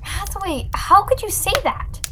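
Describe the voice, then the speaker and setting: A woman's voice: very high voice, unhurried, noisy background. A woman playing a distressed, wounded young sweetheart in an old-time radio drama, pleading and faltering. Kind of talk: 1940s radio drama acting. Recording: dramatic music behind the voice.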